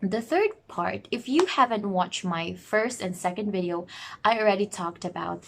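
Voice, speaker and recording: Woman in her thirties from the Philippines, online English teacher, chatty and self-correcting, medium pitch. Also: animated, moderate pace, gravelly, noisy background. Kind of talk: casual explainer vlog.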